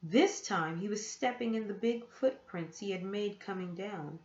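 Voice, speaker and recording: A woman reading a children's story aloud with expressive, deliberate storytelling. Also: medium pitch. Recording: echoey room, dull muffled sound.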